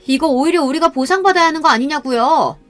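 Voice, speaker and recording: Shrill defensive mother type, high and indignant, put on by a female narrator. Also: fast talker, dry recording, soft background music, natural voice.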